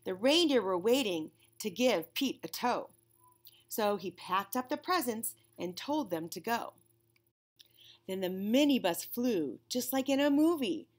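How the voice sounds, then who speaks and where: A woman in her forties reading a children's storybook aloud, with an expressive, deliberate storytelling delivery. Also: medium pitch. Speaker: children's storybook reader, teacher. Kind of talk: read-aloud for young children. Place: classroom, indoors.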